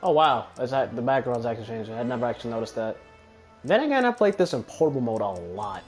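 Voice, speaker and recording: A man's voice: mid-range voice, very animated, fast talker, a little mellow. A man giving casual gameplay commentary, muttering offhand remarks to himself and swearing freely. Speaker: gamer commentating own playthrough. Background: video game music and sounds.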